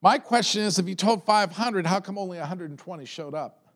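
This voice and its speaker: A pastor preaching a sermon to his church. His voice is loud and set high for a man, and he talks in a relaxed way, stacking up repeated words as he builds a point. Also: brisk.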